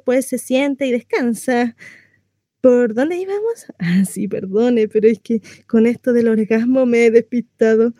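A woman's voice: on the high side, warm tone, no echo, studio quality, close to an everyday voice. A woman acting a chatty, naive, mistreated housewife in a comic stage monologue, confessional, rambling and often interrupting herself. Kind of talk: theatrical comic monologue addressed to a listener.

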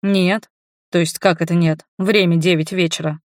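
A woman's voice: lowish voice, steady tone, moderate pace, no echo, clean studio sound, natural voice. A woman voicing a blunt, jaded, commitment-shy man with a curt manner in an audiobook. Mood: concerned. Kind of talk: audiobook character voice.

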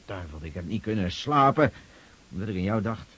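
An actor playing a gruff, protective, fatherly army officer with a conscience in a radio drama. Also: lowish voice, lively intonation, a little fast, fairly bright tone. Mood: weary, fond.

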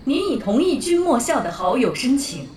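A woman voicing an online game's system announcer, giving formal, measured notifications in an audio drama. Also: sits high, very animated, a little hiss or hum. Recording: roomy echo, very loud music behind.

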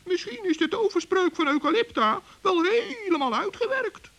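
A man voicing a soft-hearted, friendly little forest gnome in a children's radio play, mild and polite. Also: very high-pitched, lively intonation, normal speed, gravelly, pitched above the performer's own voice, full character voice.

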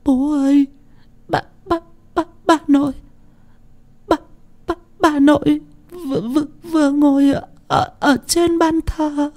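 A man's voice: very high-pitched, fairly even intonation, unhurried, pauses often, darkish tone, pitched above the performer's own voice. A man doing a sobbing, terrified little girl. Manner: stammering, repeats words through tears.

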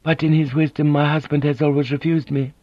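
A man voicing a gentle, composed Japanese noblewoman who speaks softly and formally. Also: a little high, little pitch movement, fairly quick, no echo, lo-fi sound, voice pushed up, close to an everyday voice. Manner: soft, formal, careful speech.

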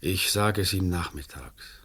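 An actor playing an uneasy, formal, middle-aged examining magistrate in a German radio play, with measured, officious phrasing. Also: male voice, sits low, a bit bright, husky, heavily acted. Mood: troubled, brooding.

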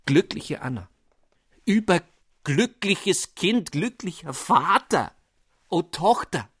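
A man voicing an elated, solemn old father of noble rank, who declaims slowly and rapturously. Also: high-pitched, sing-song, fairly bright tone, slightly rough, no room sound, clean studio sound, voice pushed up, heavily acted.

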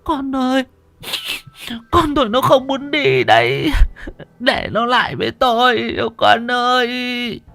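A man's voice: extremely high pitch, expressive, slow, gravelly, pushed and bright, no echo, pitched above the performer's own voice, exaggerated voice. A man voicing a grief-stricken village mother, wailing and pleading in a horror story.

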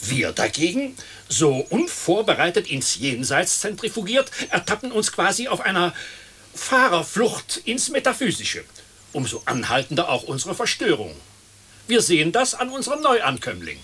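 A man's voice: high voice, wildly swooping pitch, normal speed, sharp tone, breathy edge, full character voice. An actor playing a verbose dead lawyer in a radio play, pompous and ornate in his phrasing.

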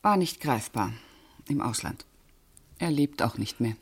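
An actress playing a self-controlled bank official in a radio play, answering precisely and guardedly in short, restrained phrases. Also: female voice, rumbling low, lively intonation, measured pace, husky, heavily acted. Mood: controlled, terse. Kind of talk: German radio play acting.

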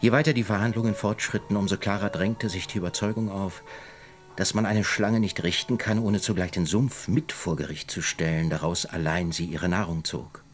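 An actor playing a grave, defiant professor in a radio drama, with a solemn, literary delivery. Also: male voice, low-pitched, steady tone, moderate pace, soft background music, natural voice. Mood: grave narration. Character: grave, defiant male professor chronicling a trial.

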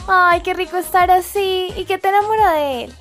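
A woman's voice: very high voice, normal speed, fairly bright tone, soft background music. A woman playing a teasing, curious female friend in a radio soap. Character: teasing, curious girlfriend confidante.

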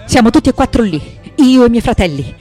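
A woman's voice: fairly high pitch, big pitch swings, fast talker. Old lady artist haunted by memories, dramatic radio play monologue, intense, music behind her. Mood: agitated, intense. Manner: dramatic, swinging from hushed to anguished. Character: elderly woman artist haunted by childhood memories, intense, wounded.

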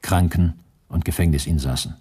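A male actor playing a former prisoner who recalls the war in sober, measured radio narration. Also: extremely low pitch, loud music behind the voice. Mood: grave, sober. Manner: sober, measured, matter-of-fact. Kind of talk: scripted radio play narration.

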